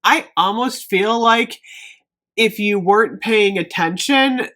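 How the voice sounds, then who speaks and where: A woman chatting casually to viewers in a product review vlog, emphatic and thinking out loud as she goes. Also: mid-range voice, slow. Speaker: beauty product review vlogger.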